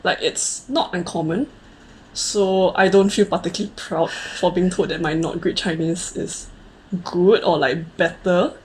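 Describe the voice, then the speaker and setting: Low-pitched Singaporean woman chatting casually. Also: measured pace, a little hiss or hum, poor audio. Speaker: co-host of a conversational video series. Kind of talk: casual chat.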